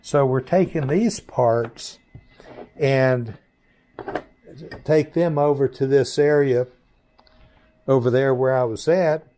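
An older man in his sixties or beyond, informally explaining and demonstrating products in deliberate, halting phrases with pauses. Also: medium pitch, warm tone, a little hiss or hum. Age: 60s or older. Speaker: maker presenting his 3D printed products. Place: small indoor workshop.

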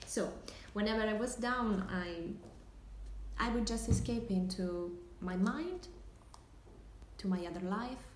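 A woman's voice: medium pitch, expressive. A young woman with an Italian accent playing a wistful, hesitant dreamer remembering lost hopes in a dramatic monologue. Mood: confiding, hopeful. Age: young adult. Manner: slow, hesitant, inward. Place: small indoor room, seated at table. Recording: echoey room, faint hiss.

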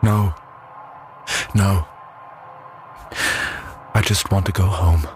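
An actor playing an idealistic, sorrowful German captain and test pilot in a radio drama. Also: male voice, fairly even intonation, drawn-out words, music in the background. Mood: grieving, subdued. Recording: radio drama with engine rumble.

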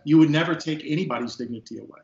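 A man in his fifties from Texas, a police sergeant, speaking as an interview guest in earnest, emphatic talk with a big booming voice, recorded on a video call from an office. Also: very fast, vocal fry, a little mellow. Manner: emphatic, repeats words when stressing a point. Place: office at a desk. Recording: echoey, dull video call audio.

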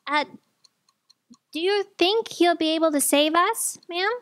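A woman playing a cheeky, excitable fact checker in a staged comedy audio drama, with a bubbly, gushing manner. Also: very high-pitched, unhurried, no room sound, studio quality. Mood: perky. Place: theatre stage. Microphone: stand microphone.

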